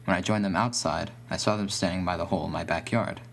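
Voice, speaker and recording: A man's voice: deep voice, fairly even intonation, quick pace, gravelly, a bit bright, slight background noise. A man reading a scripted first-person horror story as its narrator.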